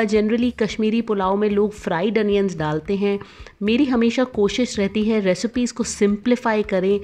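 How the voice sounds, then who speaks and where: A woman's voice: medium pitch, a little mellow. Woman explaining a recipe step by step. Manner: clear step-by-step instructions, friendly and direct. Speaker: cooking channel host. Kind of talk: recipe voice-over narration.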